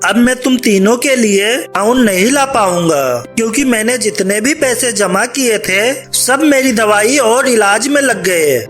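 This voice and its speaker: A man playing a gentle, loving poor labourer father in a cartoon story, tender and reassuring. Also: high voice, a little slow, few pauses, soft background music. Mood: sorrowful.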